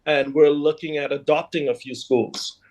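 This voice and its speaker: A Bahamian man answering conversationally as an interview guest, in a halting, hesitant way. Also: fairly high pitch, fairly even intonation, a little mellow. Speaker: guest, leader of a Junkanoo group.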